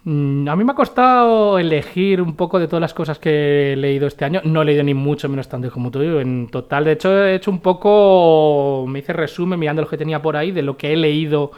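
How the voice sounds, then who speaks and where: A man's voice: a little high, fairly quick, hardly any pauses, smooth, dull audio. A man co-hosting a radio talk show, chatting in a rambling way about books he has read this year. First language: not Catalan. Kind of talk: casual radio chat reviewing books.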